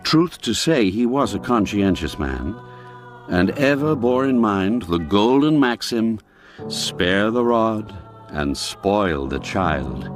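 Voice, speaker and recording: A man narrating a classic literary tale as an audiobook, with deliberate, theatrical storytelling. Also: fairly low pitch. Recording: music under the voice.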